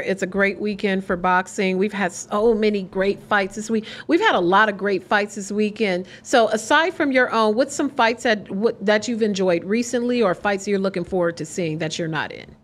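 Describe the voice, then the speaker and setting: A woman co-hosts a boxing podcast at a middle pitch. She keeps her interjections short and enthusiastic before asking the guest a question. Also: moderate pace, hardly any pauses. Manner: upbeat, short enthusiastic remarks. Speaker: podcast co-host. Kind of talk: interview chat.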